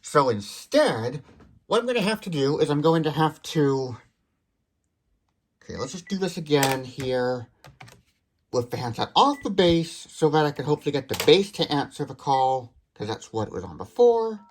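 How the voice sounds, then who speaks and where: A man's voice: a little high, big pitch swings, normal speed, a little hiss or hum. A man hosting a step-by-step product demonstration, explaining as he goes and correcting himself while thinking aloud. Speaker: host of a phone gadget demonstration channel.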